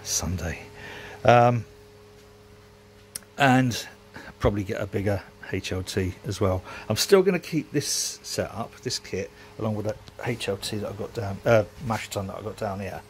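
A man's voice: fairly low pitch, moderate pace, a little hiss or hum. A man, rambling casually and self-mockingly while filming his home brewery workshop on a handheld phone.

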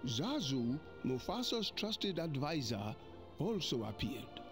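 An actor playing an old male mandrill elder, narrating a storybook read-along in an expressive way. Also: medium pitch, takes it slowly. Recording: music playing behind.

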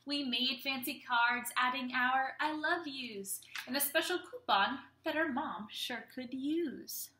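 Bright, high-voiced young woman reading to children. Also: takes it slowly, hardly any pauses, a little hiss or hum. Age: young adult. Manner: animated, expressive, addressing children directly. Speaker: children's teacher reading aloud. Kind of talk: storybook read-aloud for young children. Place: small room at home. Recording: roomy, echoey home webcam sound.

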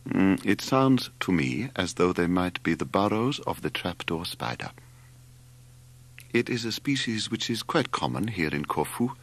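A man plays a shy, grave naturalist from a storybook. His voice is low and creaky, swinging widely in pitch. He picks his words slowly and meticulously, kindly and full of hesitant ums. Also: very animated, exaggerated voice. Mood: thoughtful, tentative.

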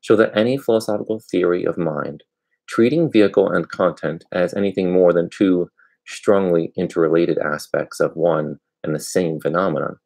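A man in his thirties with a gravelly, husky voice, reading aloud from an academic book in a halting way, sometimes correcting himself. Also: low voice, a little mellow. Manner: halting, with misreadings and self-corrections. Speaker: amateur book reader. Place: furnished room at home, indoors.

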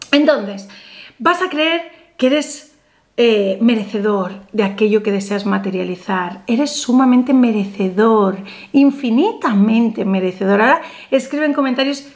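A woman, teaching simple rituals to the camera, animated and emphatic, slowing down for affirmations. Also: on the high side. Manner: animated, gestures a lot, slow emphatic affirmations. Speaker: spiritual ritual vlogger. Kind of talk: instructional ritual talk to camera. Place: small indoor room with a painted backdrop.

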